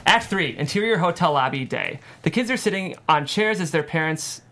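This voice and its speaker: A woman's voice: low voice, animated, normal speed, fairly bright tone, slight background noise. A woman reading scripted narration and stage directions at a comedy table read.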